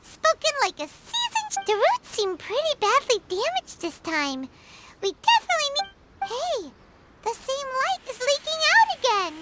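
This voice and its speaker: Tiny, very high-pitched fairy companion. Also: female voice, huge pitch swings, normal speed, full character voice. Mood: excited. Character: small childlike floating fairy companion, chirpy and inquisitive. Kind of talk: video game voice acting. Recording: music behind the voice.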